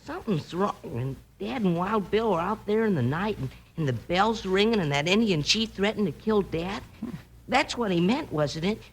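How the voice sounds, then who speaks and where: A woman's voice: medium pitch, expressive, normal speed, slight background noise, lightly acted, poor audio. A woman playing an earnest, plain-spoken little boy in a 1950s Western radio drama. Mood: scared.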